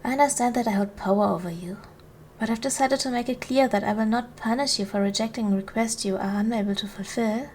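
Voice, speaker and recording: A woman acts out a noble duchess in a historical ASMR roleplay. Her voice sits fairly high, with formal, measured phrasing that soothes yet carries quiet command. Some background noise runs beneath her words. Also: lightly acted. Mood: gentle, reassuring. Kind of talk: ASMR roleplay, soft formal period speech.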